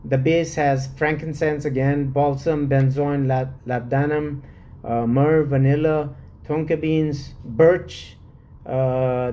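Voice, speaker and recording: Slow, hesitant man with a mellow voice. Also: a little high. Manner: slow and hesitant, many "uh" fillers. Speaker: fragrance reviewer. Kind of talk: unscripted solo review.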